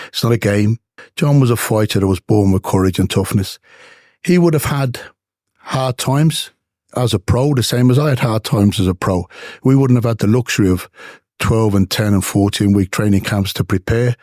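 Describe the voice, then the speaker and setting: An older former boxer from Dublin with an Irish accent, telling stories emphatically in an interview. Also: male voice, on the low side, darkish tone, no room sound, studio quality. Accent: Dublin Irish with English traces. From Ireland. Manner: emphatic, repetitive storytelling.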